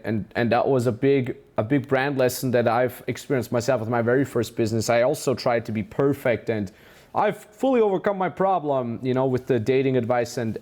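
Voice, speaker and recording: A man's voice: medium pitch, a little mellow. A man with a German accent hosting a casual interview as a business mentor, enthusiastic and full of praise. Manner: enthusiastic, praising, many restarts.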